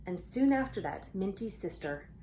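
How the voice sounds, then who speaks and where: A woman with a mid-range voice reads a storybook aloud for children. Her delivery is expressive, slipping from narration into conversational asides and questions for her young listeners. There is some light noise behind her voice. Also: medium pitch, normal speed, poor audio.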